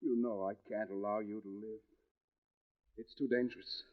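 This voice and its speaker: An actor playing a brooding, tormented husband, both menacing and tender, with intense, halting melodrama in 1940s radio mystery style. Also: male voice, lowish voice, fairly even intonation, natural voice. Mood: pleading menace. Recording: dull old radio recording.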